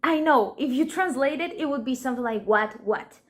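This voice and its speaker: A woman's voice: high voice, lively intonation, a bit bright. A Colombian woman, a native Spanish speaker, giving a casual Spanish lesson in English and acting out playful example scenes. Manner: slow, playful, acts out little example scenes. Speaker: Spanish teacher. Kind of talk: casual language lesson to camera.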